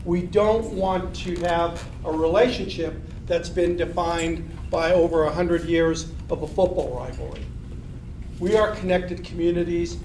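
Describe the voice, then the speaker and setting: A man in his fifties gives an earnest speech to a business gathering, leaning on repeated rallying phrases and frequent ums and you-knows. His voice sits a little high and sounds distant, caught from across an echoey room with a faint hiss underneath. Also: darkish tone. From southern Colorado. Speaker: city manager. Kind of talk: public speech to a business meeting. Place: meeting room, unamplified.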